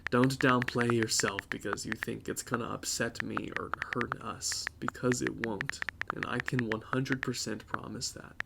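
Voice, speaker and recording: Guy acting young cocky smooth talker boyfriend, fry in the voice, noisy clicky audio drama recording. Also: medium pitch, fairly even intonation, a little slow, few pauses. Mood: tender, reassuring. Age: young adult.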